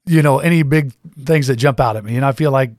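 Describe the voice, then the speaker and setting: A man's voice: mid-range voice, fast, a little mellow, no room sound, studio quality. A man in his fifties from Central Iowa, a guest on a local radio show, answering in an easygoing, agreeable way. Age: 50s. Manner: easygoing, short agreeable replies. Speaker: interview guest, insurance agent. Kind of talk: casual interview chat. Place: small radio studio.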